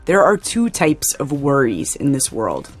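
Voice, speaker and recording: A woman reporter narrating a radio documentary story in a measured, plain-spoken way. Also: very deep voice, big pitch swings, breathy edge, soft background music.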